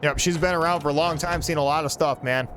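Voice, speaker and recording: A man's voice: a little high, steady tone, quick pace, a bit bright, a little hiss or hum. A man in his forties casually commenting on his own gameplay, rambling and joking with a friend over a desk mic. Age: 40s. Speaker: gaming channel host.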